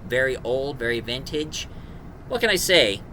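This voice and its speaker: A man giving a casual, rambling review in a halting, enthusiastic fan manner. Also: mid-range voice, lively intonation, bright, forceful tone, slight background noise, rough recording. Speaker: reviewer, host.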